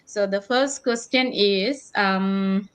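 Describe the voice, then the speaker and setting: A woman hosting an online panel discussion, speaking in a halting way that often circles back over phrases. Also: mid-range voice, clean tone. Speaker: host.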